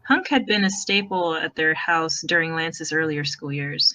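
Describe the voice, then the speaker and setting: A woman's voice: lowish voice, pushed and bright. A woman narrating fan fiction as a storyteller, in a conversational, wry way.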